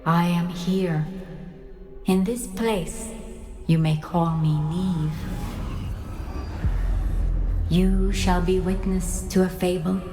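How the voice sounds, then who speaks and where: A woman's voice: lowish voice, fairly even intonation, slow, mellow, soft background music. An actress playing a composed, enigmatic female wizard mentor in a video game, speaking solemnly and instructively. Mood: solemn, guiding.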